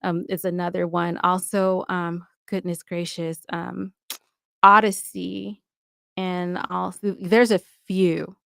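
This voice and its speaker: An American woman talks casually as an interview guest. Her voice is a touch low and recorded very dry. She is colloquial and emphatic in how she makes her points. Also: little pitch movement, slow pace, vocal fry, a bit bright, smooth, no echo. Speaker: podcast guest, audio drama producer.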